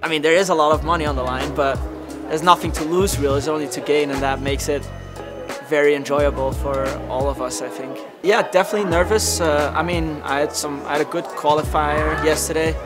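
A man's voice: a little high, steady tone, a little fast, over music. A young man, a pro ski racer, talking casually in an interview in a large indoor hall, rambling with lots of fillers and restarts. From Belgium.

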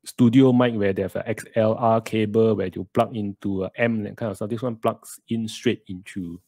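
Malaysian-accented male host in his thirties, low and a bit gravelly, casual livestream Q&A, close dry studio mic. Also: steady tone, moderate pace, warm tone, no echo. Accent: Malaysian English. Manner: chatty, sprinkles lah and Malay words. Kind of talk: casual live Q&A chat. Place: small room indoors. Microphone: USB studio mic on a stand, close.

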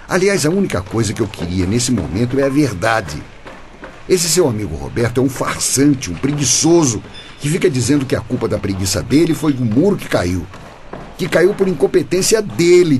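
A man's voice: medium pitch, darkish tone, a little hiss or hum, lightly acted. An actor playing a manipulative, falsely fatherly Brazilian construction boss in a radio soap, insistent and theatrical. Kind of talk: radio soap opera acting.

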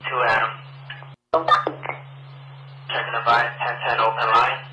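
Male police dispatcher, forceful monotone, procedural calls over radio with slight noise. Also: mid-range voice, measured pace. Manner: clipped, procedural. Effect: two-way radio. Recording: echoey, noisy police radio transmission.

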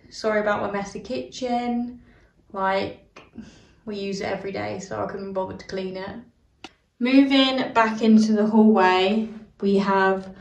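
A young British woman talks slowly through a tour of her decorated home, with gentle apologetic asides about the mess. Her voice comes from a bit away from the handheld phone, and it rings in small echoey rooms. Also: medium pitch, distant mic. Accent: British English. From United Kingdom. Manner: chatty, apologetic asides, frequent "so" and "um". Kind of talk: casual walkthrough narration. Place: small house, indoors, walking room to room. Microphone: handheld phone camera. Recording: roomy sound, voice away from mic.

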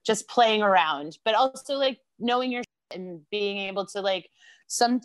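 A woman's voice: mid-range voice, unhurried, dry recording. American woman chatting on a podcast, laughs a lot, dry close sound. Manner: laughs often, animated storytelling. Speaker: podcast guest. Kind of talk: casual chat.

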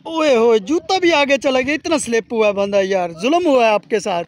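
A man's voice: extremely high pitch, normal speed. A man playfully commentating his friends' jumps outdoors by a canal, teasing and laughing. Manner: teasing, laughs often, exclaims wah. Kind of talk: playful mock sports commentary.

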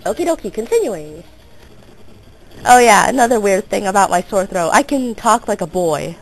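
A teenage girl with a hoarse, sore throat, rambling through casual gameplay commentary. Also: mid-range voice, animated, moderate pace, slight background noise, rough recording. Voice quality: gravelly. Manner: rambling and self-interrupting, coughing now and then. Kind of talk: casual let's play commentary.

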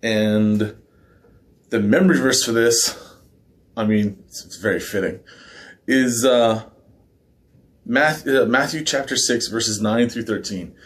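A man in his thirties leads a weekly men's devotional from his living room beside a fireplace. His voice is a little hoarse from a week of heavy talking. He speaks casually, with fillers and small self-aware asides, and the camera sits a few feet in front of him. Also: lowish voice, slow pace, long pauses. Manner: relaxed and conversational, with filler words and small laughs. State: slightly hoarse. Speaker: men's ministry devotional host. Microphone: fixed camera at mid distance.

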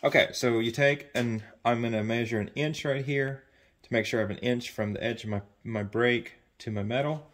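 A man's voice: medium pitch, fairly even intonation, moderate pace. A man, giving an unscripted hands-on how-to in short bursts while he works. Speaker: HVAC sheet metal tradesman demonstrating. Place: large indoor warehouse workshop. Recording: loud workshop noise, metal clanking and clicks.

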